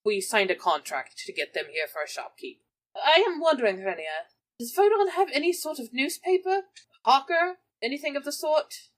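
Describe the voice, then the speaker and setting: A woman's voice: high-pitched, expressive, moderate pace. A woman playing a tabletop game, casually moving between describing and speaking in character.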